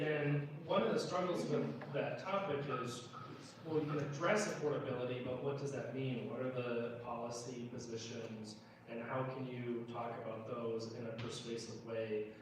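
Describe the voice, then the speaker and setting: A man speaking informally as a political candidate at a town hall, explaining things in a measured, conversational way, recorded in an echoey room at a distance. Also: mid-range voice, steady tone. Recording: echoey room, distant mic, faint noise.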